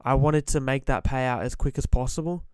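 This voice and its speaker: Slightly hoarse man giving blunt trading advice. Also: mid-range voice, fairly even intonation, moderate pace, no room sound. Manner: direct, emphatic, repeats key points; frequent throat clearing. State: slightly hoarse from being sick. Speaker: forex trader giving advice. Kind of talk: motivational trading-psychology talk to camera.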